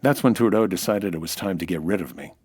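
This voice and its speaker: A man's voice: deep voice, lively intonation, fast, a little mellow, slightly rough. A man with an American accent playing a dry, wisecracking, hard-boiled nightclub owner in a 1940s-style radio detective drama. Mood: explaining. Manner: dry, wisecracking, world-weary.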